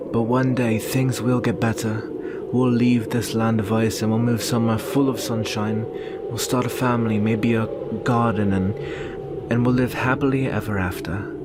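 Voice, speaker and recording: A man playing a brooding, protective young northern warrior and devoted lover in an earnest, solemn ASMR roleplay with measured pauses. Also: lowish voice, lightly acted. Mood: tender, hopeful. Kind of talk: ASMR roleplay, intimate slow dramatic monologue. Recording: very noisy ambient background.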